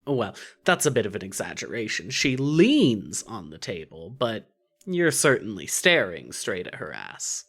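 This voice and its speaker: A woman reading scripted second-person fan fiction narration in an expressive, wry way. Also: very deep voice, a little slow, slightly rough.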